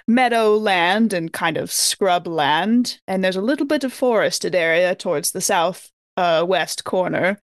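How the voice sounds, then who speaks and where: Measured woman describing a roleplay scene. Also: lowish voice, no echo, clean studio sound. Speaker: tabletop game player. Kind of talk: improvised in-character scene description.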